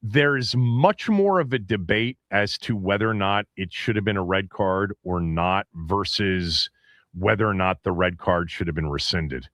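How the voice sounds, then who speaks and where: A man's voice: low voice, a little slow, fairly bright tone, no echo, clean studio sound. A man hosting a sports call-in radio show, taking calls and pressing callers with pointed questions. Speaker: radio sports talk host.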